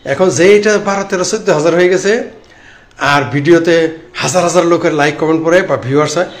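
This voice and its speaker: A man's voice: fairly high pitch, fairly quick. A man in his late fifties with a Bangladeshi accent, giving informal live commentary and answering comments in a sarcastic, rambling, repetitive way into a phone. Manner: sarcastic, rambling, repeats phrases. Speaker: live-stream political commentator. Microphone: phone at arm's length. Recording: clearly noisy phone recording.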